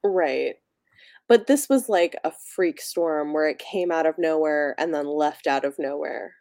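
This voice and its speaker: A woman's voice: sits low, a little slow, darkish tone, no room sound, studio quality. A woman podcast host in a casual, chatty book discussion, musing aloud and prone to giggling.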